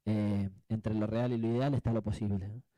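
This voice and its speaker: A man with an Argentine accent speaking off the cuff as a panelist, reflective and halting, often adding tag questions. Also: on the low side, little pitch movement, mellow, no room sound. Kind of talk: spontaneous panel discussion answer.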